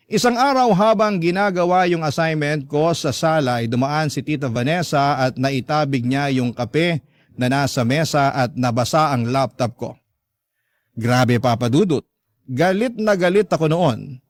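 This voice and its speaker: Male radio storyteller, scripted first-person narration, slightly raised pitch, very dry studio sound. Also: dry recording.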